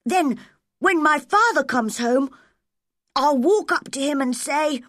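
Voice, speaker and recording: A woman voicing an earnest, excitable young boy in a storybook, full of hope and fervent declaration. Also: high-pitched, slow, dry recording, clean studio sound, voice pushed up, full character voice. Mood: determined, eager.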